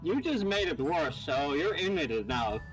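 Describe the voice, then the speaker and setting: A male player in a tabletop game talks in a lively, animated way. His voice is high and swings widely in pitch. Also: measured pace, over music, rough recording.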